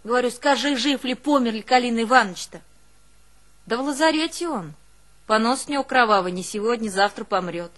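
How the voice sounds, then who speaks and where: A woman's voice: a little high, brisk, fairly bright tone, natural voice. An actress playing a long-suffering, devoted village woman, telling of hardship in a plaintive, folksy theatrical monologue. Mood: earnest storytelling. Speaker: actress in a one-woman show. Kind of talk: theatrical monologue with drawn-out folk singing.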